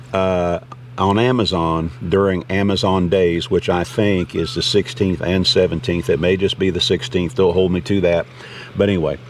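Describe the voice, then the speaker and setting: A man's voice: sits low, far from the mic. An American man hosting a casual, unscripted gear review in a folksy, rambling way. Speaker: vlog host reviewing gear. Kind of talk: casual unscripted product talk. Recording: clear background noise.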